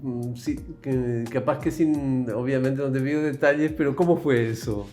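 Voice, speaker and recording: A man's voice: medium pitch, normal speed, darkish tone, faint music behind. A man hosting an interview, asking long questions with many hesitations and repeated words.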